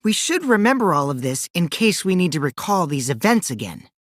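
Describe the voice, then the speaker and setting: A man's voice: high-pitched, big pitch swings, a little fast, a bit bright, dry recording, studio quality, heavily acted. An actor voicing a mischievous, taunting teenage boy who lies for fun in a video game, theatrical and teasing, swinging from sly to gleeful. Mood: smug, calculating. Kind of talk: animated video game voice acting.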